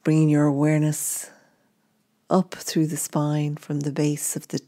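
A woman gently guiding a meditation in short, unhurried phrases. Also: low voice, monotone. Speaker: meditation guide. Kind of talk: guided meditation narration.

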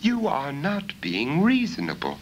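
A man's voice: fairly high pitch, wildly swooping pitch, a little slow, slight background noise, pitched above the performer's own voice. Man acting a formal, impatient rich lord. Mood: pleading exasperation. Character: rich lord, impatient husband, reasonable then commanding.

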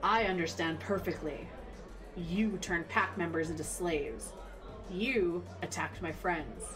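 A woman's voice: fairly low pitch, takes it slowly. An actress playing a defiant young female fighter in an audio drama, dry and mocking, over music. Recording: loud music underneath.

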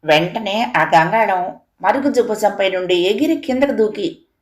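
A woman's voice: medium pitch, normal speed. A woman narrating a scripted moral folk tale as a storyteller.

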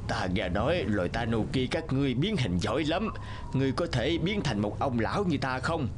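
A man's voice: medium pitch, very animated, brisk, noisy background, natural voice. A man voicing a menacing but gullible snake demon posing as an old man in a cartoon, with an imperious manner. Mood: probing. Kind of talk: cartoon voice acting.

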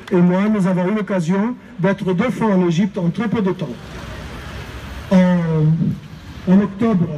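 Older egyptian guy speaking at a street protest, high mellow voice, handheld mic, some street noise. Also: low-quality recording. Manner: emphatic, hesitant with many fillers. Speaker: rally speaker. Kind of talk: outdoor protest speech. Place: street rally outdoors. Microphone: handheld microphone.

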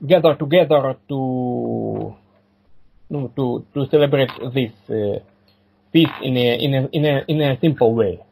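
A man, answering interview questions haltingly and repeating words and phrases. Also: medium pitch, creaky, warm tone, husky, poor audio. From Ukraine. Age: 40s. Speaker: podcast guest.

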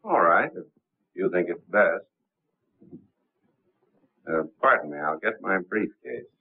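Formal, hesitant lawyer, lowish husky voice, lively up and down, tinny old film sound. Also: male voice, slow pace, heavily acted. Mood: polite. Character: suave man posing as a lawyer. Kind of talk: 1930s film acting.

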